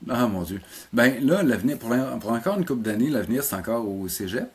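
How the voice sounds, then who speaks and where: A mature man with a Québécois French accent, speaking informally and haltingly as a guest in a casual interview. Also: medium pitch, lively intonation, breathy edge. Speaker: interview guest, animation teacher and program coordinator.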